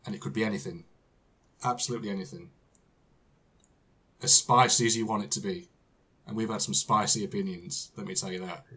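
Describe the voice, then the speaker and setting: Husky British man, hesitant podcast host. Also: fairly low pitch, animated, fairly quick, pauses often, pushed and bright. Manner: hesitant, repeats words, laughs often. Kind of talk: casual interview chat.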